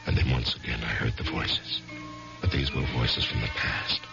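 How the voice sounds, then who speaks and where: An actor playing a weary, guilt-torn husband in a brooding, hushed 1970s radio mystery style. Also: male voice, a bass voice, little pitch movement, moderate pace. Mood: brooding, tormented. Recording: dull old radio recording with music.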